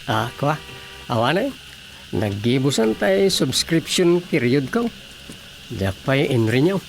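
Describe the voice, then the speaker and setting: A man's voice: medium pitch, big pitch swings, measured pace, vocal fry, a little hiss or hum. An actor playing a suspicious, grumbling man in a radio drama, muttering and sighing as he talks. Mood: conversational.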